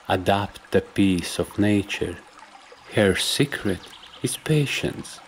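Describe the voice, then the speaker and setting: A man with a deep voice guides a meditation from a script. He speaks very slowly and softly, in measured instructions with room between phrases. A faint trickle of stream water runs behind him. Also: slight background noise. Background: trickling stream and nature ambience.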